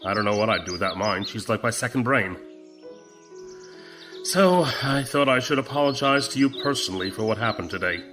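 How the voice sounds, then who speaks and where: An actor playing a seasoned, fatherly admiral of a space empire in an audio drama, measured and authoritative. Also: fairly low pitch, expressive, music in the background, close to an everyday voice. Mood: avuncular, at ease.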